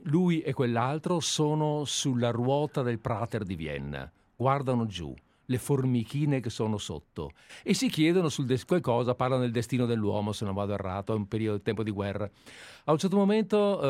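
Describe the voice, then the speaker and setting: A man's voice: medium pitch, darkish tone, dry recording. Chatty man hosting live radio. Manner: chatty, digressive storyteller. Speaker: radio host. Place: radio studio.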